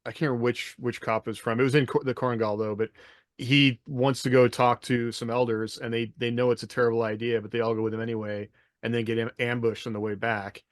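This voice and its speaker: A man's voice: medium pitch, level tone, fast talker, no room sound, studio quality. A middle-aged man in a casual podcast discussion, thinking aloud in a loosely structured way. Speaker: podcast co-host. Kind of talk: casual panel discussion of writing. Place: indoor room on a video call.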